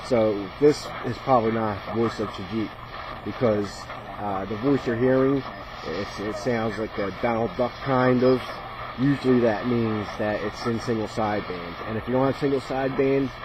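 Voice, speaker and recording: A man's voice: medium pitch, moderate pace. A man casually demonstrating a radio as a hobbyist, hesitant and thinking aloud as he goes. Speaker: radio hobbyist presenting a video. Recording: noisy, radio static in background. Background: shortwave radio static and chatter.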